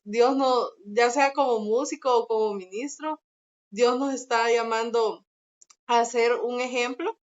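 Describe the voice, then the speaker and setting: A woman's voice: on the high side, highs cut off. A woman from El Salvador with a Salvadoran Spanish accent, a podcast guest earnestly thinking aloud in a church discussion.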